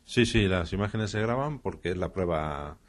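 A man's voice: sits low, expressive, moderate pace. A middle-aged man, an enforcement officer, giving plain, hesitant and official-sounding interview answers.

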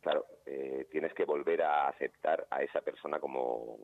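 A man's voice: rumbling low, steady tone, normal speed, no room sound. A man from Spain, a playwright and actor, talking thoughtfully in a casual radio interview, often restarting his sentences. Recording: tinny phone line.

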